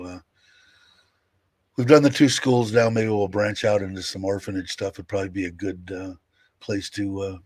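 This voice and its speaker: A man's voice: fairly low pitch, a little mellow, no room sound. A man in his sixties talking casually on a livestream, chatting candidly and answering viewer comments with many fillers. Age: 60s. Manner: rambling, candid, with fillers and laughs. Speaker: livestream host.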